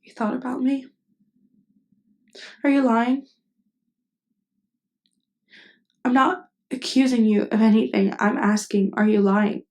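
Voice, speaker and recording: A woman acting a tender, lovestruck best friend in an intimate ASMR roleplay, speaking in a halting, earnest, vulnerable way. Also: a little high, level tone, darkish tone, close to an everyday voice. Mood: guarded, probing. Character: tender, lovestruck female best friend confessing her feelings. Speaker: voice actress.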